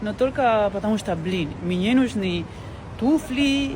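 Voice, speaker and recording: A woman's voice: mid-range voice, expressive, a little slow, noisy background. A woman from Spain with a Spanish accent, a native Catalan speaker, telling a casual, self-mocking vlog story. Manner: self-mocking, chatty, jokey. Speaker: vlogger.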